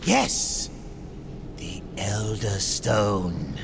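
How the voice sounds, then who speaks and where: An actor playing a scheming, excitable demon in a video game, theatrical and declamatory. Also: male voice, on the low side, extremely slow, noisy background, exaggerated voice. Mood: excited. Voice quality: gravelly. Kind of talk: dramatic video game acting.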